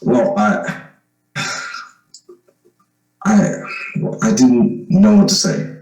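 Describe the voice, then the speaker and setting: A man playing an uneasy young man returned home in an old-time radio drama, speaking haltingly. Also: mid-range voice, sing-song, a little mellow, heavily acted. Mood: apologetic. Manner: hesitant, halting. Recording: roomy, echoing recording.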